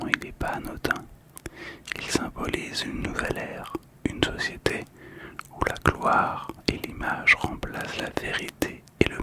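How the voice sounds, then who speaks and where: Male ASMR host, fully whispered, unhurried and soft, calm storytelling delivery. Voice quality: breathy, unvoiced whisper. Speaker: host of a relaxation channel about collectible cards.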